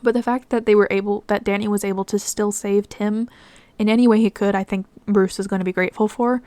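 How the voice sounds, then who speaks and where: A woman's voice: medium pitch, steady tone, brisk, mellow, dry recording. A woman who reads fan fiction as narration and chats casually to listeners as the host. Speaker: podfic reader and channel host.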